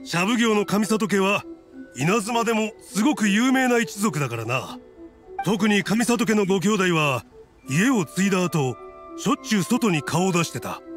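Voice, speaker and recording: An actor playing a hearty middle-aged festival vendor in a video game, with rough, colloquial speech. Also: male voice, high voice, expressive, moderate pace, fairly bright tone. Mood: jovial, respectful. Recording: background game music.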